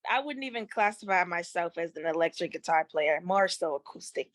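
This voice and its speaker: A woman's voice: lowish voice, fairly quick, no room sound. A young woman singer, born in Haiti, talking casually as an interview guest, with slang and many fillers. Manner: relaxed, slangy, with frequent fillers.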